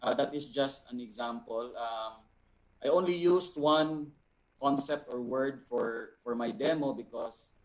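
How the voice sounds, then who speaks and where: A man, an instructor explaining an answer in a measured, deliberate way with pauses mid-phrase. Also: mid-range voice, poor audio. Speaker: resource person, art instructor.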